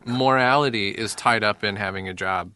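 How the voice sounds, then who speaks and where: A man's voice: lowish voice, moderate pace, sharp tone, clean tone, no room sound. A man co-hosting a casual comedic chat, dry and sardonic, often breaking into laughs.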